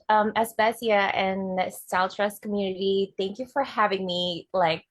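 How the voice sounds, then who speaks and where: A woman's voice: medium pitch, dry recording. A woman from Indonesia, a fashion designer, giving an earnest webinar presentation as the guest speaker.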